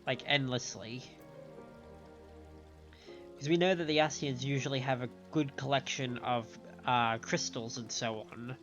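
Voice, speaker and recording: A man reads game dialogue aloud and comments on it as a livestream host. His pitch is middling and his reading is measured, with the odd self-correction. The audio is a little dull and lo-fi, with clear game music underneath. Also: fairly even intonation, fairly bright tone.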